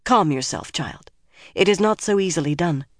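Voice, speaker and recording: Woman voicing a senior sorceress, solemn, motherly, secretive, hushed and firm, fantasy audiobook, dry recording. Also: on the low side, expressive, moderate pace, dry recording, natural voice. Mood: hushed, urgent.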